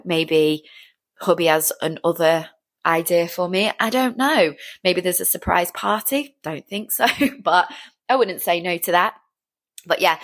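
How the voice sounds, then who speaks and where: A woman around forty with a fairly low voice talks casually and at length about her own life. She rambles in a chatty, upbeat way and encourages the listener like a personal trainer. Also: fairly bright tone. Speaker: fitness trainer and business owner hosting a show. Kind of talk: casual solo chat, personal update.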